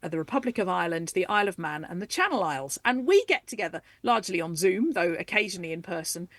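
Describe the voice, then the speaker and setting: A woman in her forties talking as a guest on a radio interview, eager and animated, and quick to laugh. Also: on the low side, dry recording. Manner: animated, enthusiastic, laughs easily. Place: radio studio.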